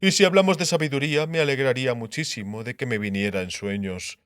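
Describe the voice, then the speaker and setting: A man's voice: mid-range voice, a bit bright, clean tone, dry recording, natural voice. A man voicing a shrewd, grumbling king and sardonic husband in an audiobook, musing aloud. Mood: shrewd, deliberating. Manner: musing aloud, muttering, drawn-out syllables. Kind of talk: dramatised audiobook character acting.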